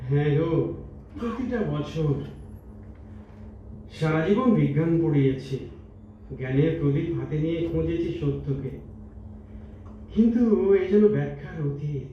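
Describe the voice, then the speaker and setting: A man's voice: on the high side, expressive, a little slow, pauses often. A man playing a tender, reflective old retired teacher in an audio drama, speaking poetically and wistfully. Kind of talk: Bengali stage audio drama. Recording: muffled, echoey hall from far off, noisy.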